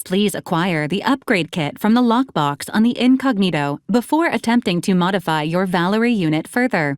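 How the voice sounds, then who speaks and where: A woman voicing a video game robot helper, super formal, precise, unflappable, mid pitch, really dry audio. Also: a little mellow, no room sound, close to an everyday voice. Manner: formal, precise, detached, clipped robotic announcements. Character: polite female robot assistant unit, clinical, literal, unflappable. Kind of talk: scripted video game character lines.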